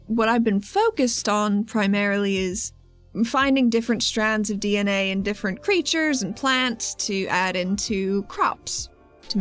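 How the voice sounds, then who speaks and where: A woman playing a tabletop game, chatting casually and offhandedly as she improvises in character. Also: medium pitch. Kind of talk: casual improvised roleplay chat. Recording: music behind the voice. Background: music.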